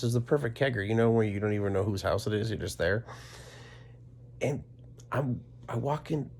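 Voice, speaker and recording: A man's voice: fairly low pitch, vocal fry, darkish tone, a little hiss or hum. A middle-aged American man telling a casual comedic personal story in a dramatic, self-deprecating way, sometimes dropping to whispery asides.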